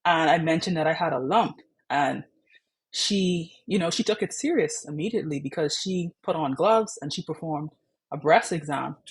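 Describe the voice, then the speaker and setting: Husky young woman. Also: deep voice, expressive, moderate pace, rough recording. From Bahamas. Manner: chatty, frequent "like" and "you know" fillers. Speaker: patient sharing her story. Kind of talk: candid personal storytelling.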